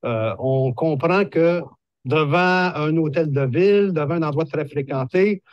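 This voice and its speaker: Man in his 60s, Québécois accent, fairly high voice, measured explanatory talk, dry close sound. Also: no echo, dull audio. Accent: Québécois French. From Quebec. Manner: measured, hesitant with frequent fillers. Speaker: horticulturist presenting a video.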